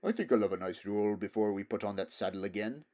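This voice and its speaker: A man's voice: on the low side, fast talker, darkish tone, natural voice. A man voicing a proud, worldly talking horse in a storybook, with a pompous, emphatic, lecturing manner. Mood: self-satisfied. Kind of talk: storybook character voice.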